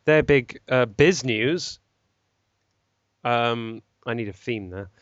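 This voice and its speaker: A man's voice: medium pitch, takes it slowly, no room sound, muffled recording. A man hosting a casual podcast chat, joking and laughing often as he moves the talk along. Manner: jokey, laughs often, leads the topics. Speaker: podcast host.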